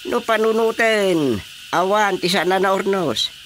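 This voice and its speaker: A man's voice: sits high, measured pace, fairly bright tone, slight background noise. An actor playing an older rural father in a radio drama, speaking with an Ilocano accent. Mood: conversational.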